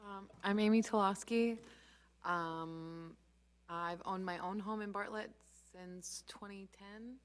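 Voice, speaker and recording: A woman's voice: mid-range voice, fairly even intonation, takes it slowly. A woman, new to committees, introducing herself at a meeting in a tentative, plain-spoken way. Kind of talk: informal self-introduction at a meeting.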